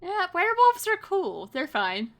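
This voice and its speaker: A woman's voice: extremely high pitch, huge pitch swings, measured pace, a bit bright. A woman chatting casually as a panelist on a podcast. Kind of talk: casual chat.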